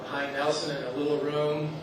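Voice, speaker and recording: A man's voice: fairly high pitch, level tone, fairly quick. A man telling rambling, self-deprecating stories to a live audience from a stage, laughing at his own jokes. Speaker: stage storyteller at a community event. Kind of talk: casual stage storytelling. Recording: echoey hall with noise from far off.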